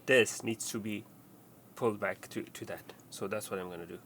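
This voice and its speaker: A man giving an unscripted, step-by-step hands-on tutorial, speaking haltingly and often repeating or rephrasing his instructions. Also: on the low side, a bit bright, a little hiss or hum. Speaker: repair tutorial presenter.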